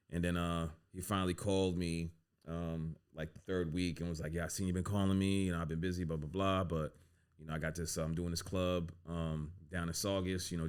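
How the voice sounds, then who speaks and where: Man, very low voice, laid-back storyteller, podcast guest, filler-heavy talk. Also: clear, resonant tone. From United States. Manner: relaxed, filler-heavy, says "you know what I'm saying" often. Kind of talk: casual storytelling interview.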